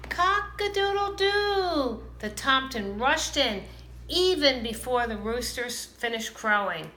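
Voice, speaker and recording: A woman reading a children's picture book aloud in an animated, expressive storytelling manner. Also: a little high, unhurried. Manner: animated, dramatizes characters' lines. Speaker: storybook reader. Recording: roomy, echoing, some background noise.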